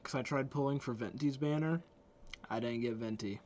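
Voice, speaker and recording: Casting: male reaction streamer, medium pitch, easygoing casual chat with music behind. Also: normal speed, darkish tone. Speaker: video creator reacting to game trailers.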